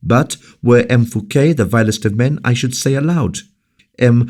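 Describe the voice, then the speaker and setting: A man's voice: low voice, dark tone, voice pushed up, lightly acted. A man reading a novel aloud, voicing a young noble lady at court who pleads with fervent, principled conviction in a raised voice. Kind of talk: audiobook character reading.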